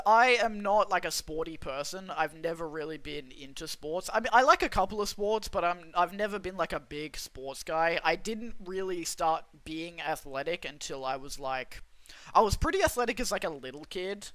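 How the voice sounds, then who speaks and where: Rambling young Australian guy, bright voice. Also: fairly high pitch, dry recording. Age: young adult. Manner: rambling, self-interrupting, swears casually. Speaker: streamer. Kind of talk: casual livestream chat reading chat.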